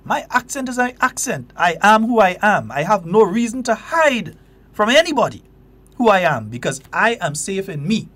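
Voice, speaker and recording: A man's voice: high voice, big pitch swings, normal speed, a bit bright. A Grenadian man hosting a call-in radio talk show, talking with passion and emphasis. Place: radio studio.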